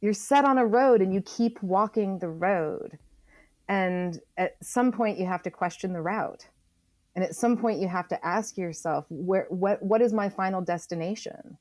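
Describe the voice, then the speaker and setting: A woman speaking as an interview guest, earnest and reflective, deliberately repeating phrases for emphasis. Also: on the low side, darkish tone, no room sound. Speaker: interview guest, environmental writer.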